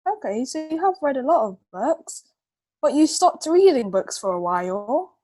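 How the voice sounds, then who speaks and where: Female tutor, very high voice, supportive and reassuring, guiding a young pupil one-on-one. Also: sing-song, normal speed, mellow. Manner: encouraging, reassuring.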